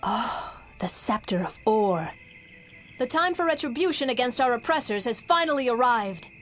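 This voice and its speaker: High-voiced resolute rebel leader. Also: female voice, animated, moderate pace, a bit bright, soft background music. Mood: triumphant, resolute. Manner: assured, declamatory. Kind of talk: video game cutscene acting.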